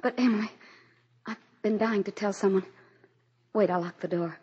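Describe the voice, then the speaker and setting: An actress playing a grieving, high-strung president's wife in a theatrical, intense 1940s radio drama style. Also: female voice, fairly even intonation, moderate pace, a little mellow, husky, dull audio. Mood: secretive, unbalanced. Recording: old 1940s radio broadcast with music.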